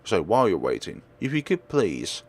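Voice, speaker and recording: A man's voice: low voice, wildly swooping pitch, moderate pace, full character voice. A man voicing a young female real estate agent in a visual novel, earnest, insistent and protective. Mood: polite.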